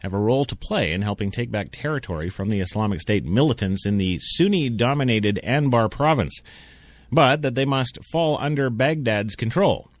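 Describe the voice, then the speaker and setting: A man's voice: medium pitch, expressive, moderate pace, dry recording, clean studio sound. A man hosting a radio show, reading the news with joking asides and stumbling over hard names. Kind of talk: comic news commentary. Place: radio studio.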